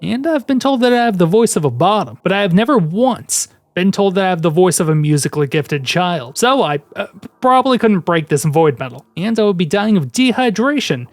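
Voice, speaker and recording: Deadpan gamer narrator, swears a lot, middle pitch, super dry sound, no room at all. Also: fairly quick, dry recording. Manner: deadpan, sarcastic, swears casually. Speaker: video essay narrator. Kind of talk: scripted comedic commentary over gameplay.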